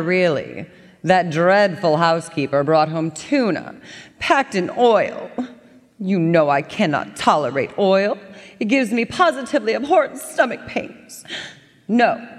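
Woman playing a haughty, swooping female cat in a hall. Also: mid-range voice. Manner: theatrical, arch, florid insults. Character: haughty, sly female house cat with theatrical indignation. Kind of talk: live radio drama acting. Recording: echoey concert hall.

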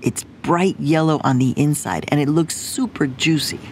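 A woman's voice: deep voice, moderate pace, a little hiss or hum. A woman hosting a radio program, narrating a playful story from a field trip in scripted voice-over. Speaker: radio host. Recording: narration with music underneath.

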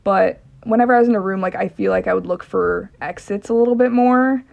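A woman's voice: medium pitch, brisk, vocal fry, muffled recording. A young woman casually recounting a personal experience in an interview. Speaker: interviewee in an audio documentary.

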